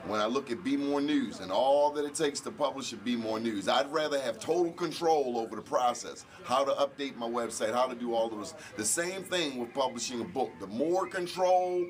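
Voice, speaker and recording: A middle-aged man, an event organizer and radio host, speaking reflectively in an on-camera interview in an indoor hall. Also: mid-range voice. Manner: reflective, measured, gestures with hands.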